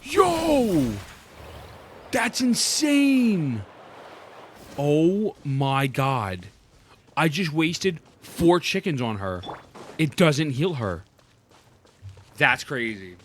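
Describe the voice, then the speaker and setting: A man's voice: on the high side, very animated, extremely slow, pauses often, a little hiss or hum. A young man doing casual, slangy reaction commentary as a gaming streamer, often repeating short exclamations.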